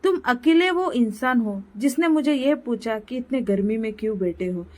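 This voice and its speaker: Casting: woman playing generous old man, high-pitched, gentle benefactor in a village tale. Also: expressive, normal speed, natural voice. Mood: grateful, kindly. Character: kindly old grandfather, generous rich benefactor.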